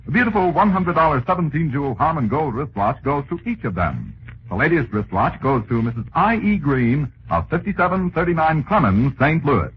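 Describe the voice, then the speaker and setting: A man's voice: a little high, very animated, a little fast. An American man working as a 1940s radio announcer, reading sponsor copy with polished, folksy salesmanship. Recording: muffled, hissy 1940s radio recording.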